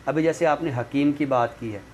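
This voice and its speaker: A man's voice: on the high side, normal speed. Man explaining over background noise. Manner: didactic, checks understanding with tag phrases. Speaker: literary expert guest. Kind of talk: explanatory conversational discussion. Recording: clearly noisy room recording.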